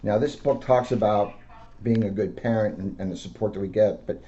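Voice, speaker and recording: A man's voice: lowish voice, normal speed, mellow. A middle-aged American man, a school police officer, reading aloud and talking informally to schoolchildren. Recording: dull lo-fi audio with faint noise.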